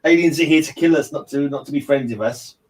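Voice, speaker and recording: A man's voice: fairly high pitch, fast talker, far from the mic, crowd noise. A man hosting a casual livestream book discussion, rambling a little and repeating his phrases. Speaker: host of a livestream read-along channel.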